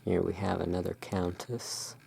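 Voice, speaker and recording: An ASMR host in a gothic shopkeeper roleplay, musing in an unhurried, gently whimsical way while commenting on cards. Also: low-pitched, little pitch movement, gravelly, a little hiss or hum. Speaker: host playing a gothic curiosity shop owner.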